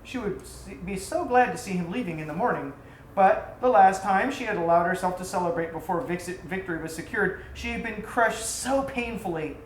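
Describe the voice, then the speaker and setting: A woman reading a scripted tale aloud in an expressive, theatrical storybook style. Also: lowish voice, moderate pace. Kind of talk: scripted narration of an exotic tale. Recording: roomy echo, faint background noise.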